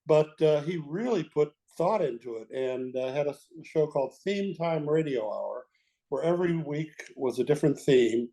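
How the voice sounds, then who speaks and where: An older man with a mellow, relaxed voice sits as a guest in an interview. He looks back on his career in a measured, halting way, searching for the next phrase as he tells his stories. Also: fairly high pitch. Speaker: guest, veteran radio executive. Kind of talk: reminiscing interview talk.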